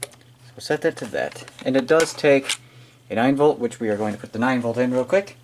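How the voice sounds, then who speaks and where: An American man giving a casual, halting, off-the-cuff hands-on product demonstration as he unboxes it on camera. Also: mid-range voice. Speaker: amateur unboxing video maker. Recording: noisy amateur room recording with clicking.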